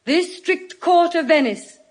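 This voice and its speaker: An actress playing an eloquent, solemn young lawyer in an audio Shakespeare drama, measured and earnest, often dropping to hushed emphasis. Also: female voice, extremely high pitch. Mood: solemn pleading. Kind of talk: classical stage acting in audio Shakespeare.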